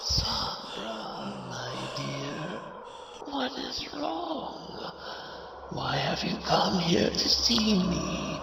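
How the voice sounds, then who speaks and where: Slow, creaky, sinister shadow mother. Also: mid-range voice, wildly swooping pitch, full character voice. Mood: falsely tender. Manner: slow, dramatic, coaxing delivery. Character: sinister shadow pony mother, sweetly menacing, manipulative. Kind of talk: fan comic dub villain acting. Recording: echoey, distant, faint noise.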